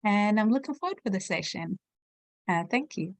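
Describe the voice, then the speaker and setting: A woman's voice: medium pitch, expressive, normal speed, no room sound. A woman from Tanzania introducing herself cheerfully on a video call. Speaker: event host and panelist. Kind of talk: casual self-introduction on a call.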